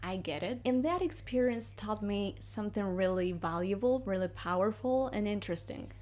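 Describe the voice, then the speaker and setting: A woman from Argentina teaches English in a relaxed, chatty way, with a clear Argentine accent. She is a native Spanish speaker who talks to her viewers as if they were friends, moving between English and Spanish. Her voice sits at a middle pitch. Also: normal speed. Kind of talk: casual video lesson. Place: home in Madrid.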